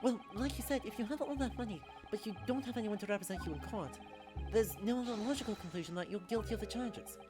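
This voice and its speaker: A woman's voice: a little high, fast, over music, lightly acted. A woman voicing a composed young female assistant in a game, polite, frank and precise.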